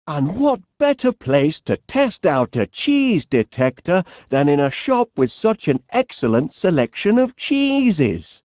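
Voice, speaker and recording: High, lilting Northern English inventor. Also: male voice, a little mellow, no echo, clean studio sound, heavily acted. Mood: sheepish. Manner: bumbling, chatty, hesitant, mild exclamations. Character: cheery, absent-minded middle-aged English inventor, fussy and genial. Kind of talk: animated comedy game voice acting.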